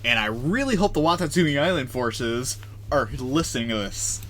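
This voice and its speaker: Male game streamer, casual commentary, wry, slangy, animated intonation. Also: medium pitch, normal speed, slight background noise. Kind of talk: casual live commentary over gameplay.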